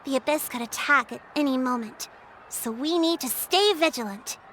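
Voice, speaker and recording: A woman's voice: high-pitched, normal speed, a bit bright, slight background noise. A woman voicing a kindly, girlish young village chief in a video game, sweet and conscientious. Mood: gently firm. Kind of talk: English video game voice acting.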